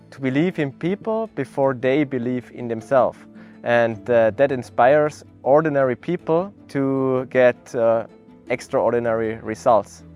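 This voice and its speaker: A young man from Austria, giving a network marketing testimonial in an earnest, reflective way, pausing often with fillers and restarts. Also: mid-range voice, darkish tone. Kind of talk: promotional testimonial interview.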